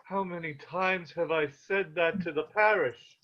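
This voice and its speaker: A man plays a proud old bishop in a stage read-through. The voice is quite high and slow, rueful and formal. The online audio is muffled. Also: slight background noise, natural voice. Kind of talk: acting in a virtual table read.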